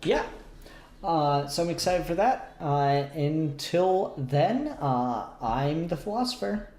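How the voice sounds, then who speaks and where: A man's voice: fairly high pitch, animated, takes it slowly, a little mellow. Man in casual podcast chat, echoey room. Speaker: podcast co-host. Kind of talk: casual review discussion. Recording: roomy echo, noticeable background noise.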